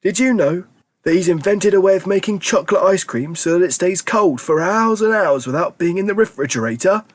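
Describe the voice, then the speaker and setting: A man voicing an eager, excitable grandfather in his nineties, exclaiming with theatrical emphasis. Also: high voice, brisk, voice pushed up. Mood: excited. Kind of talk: audiobook character reading.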